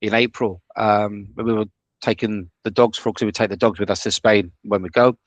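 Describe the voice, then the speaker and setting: A man's voice: on the low side, steady tone, normal speed, no room sound. A man from the United Kingdom, a language student, talking in a casual interview, hesitant with lots of fillers and restarts. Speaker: student guest.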